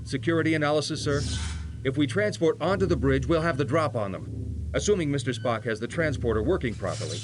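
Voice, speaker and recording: An actor playing an earnest, dutiful starship security lieutenant in a video game, giving a crisp, formal briefing. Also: male voice, a little high, animated, fairly quick, music in the background, lightly acted. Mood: eager, businesslike. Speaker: actor voicing a security officer. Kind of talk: voiced game dialogue.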